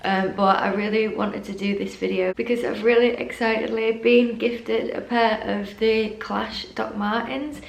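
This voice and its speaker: A young woman with a croaky morning voice, chatting enthusiastically through an unboxing vlog in her living room. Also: fairly high pitch, steady tone, unhurried, few pauses, roomy sound. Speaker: fashion vlogger.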